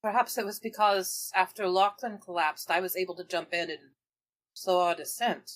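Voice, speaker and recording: A woman's voice: fairly low pitch, steady tone, moderate pace. A woman playing a tabletop roleplaying game, telling events in an informal, explanatory, conversational way. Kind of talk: conversational in-character storytelling.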